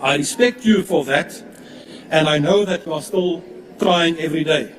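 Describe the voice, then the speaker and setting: Brief: Afrikaans-native man, opposition MP, slightly high voice, echoey chamber from a distance. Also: a little hiss or hum. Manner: measured, respectful. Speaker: opposition member of parliament. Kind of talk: formal parliamentary address. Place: large parliament chamber. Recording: echoey hall from far off.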